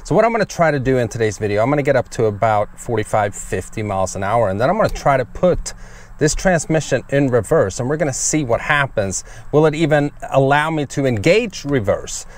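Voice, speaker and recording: A man's voice: mid-range voice, a little fast. A man in his forties vlogging from the cab of his moving pickup truck, chatting casually and laughing a lot, with a dashboard camera picking him up. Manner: excitable, laughs often, shouts when thrilled. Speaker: car vlogger. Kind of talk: casual vlog while driving. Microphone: camera mounted on dashboard. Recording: engine and road noise in a truck cab. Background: road and engine noise.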